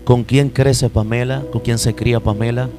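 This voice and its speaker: A man, a pastor hosting an interview, calm and affirming. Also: medium pitch, normal speed, over music.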